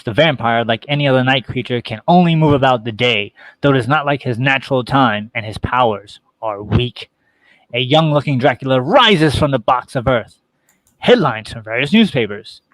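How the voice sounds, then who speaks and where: A man's voice: medium pitch, fairly bright tone, dry recording, low-quality recording. A man narrating a horror play, reading stage directions in a terse, theatrical way.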